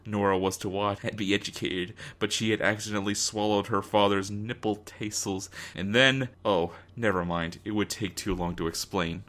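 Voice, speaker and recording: A man's voice: on the low side, steady tone, normal speed, few pauses, fairly bright tone. A man reading a story aloud as a dramatic narrator, in a mock-serious, theatrical manner. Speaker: narrator of a fan fiction reading.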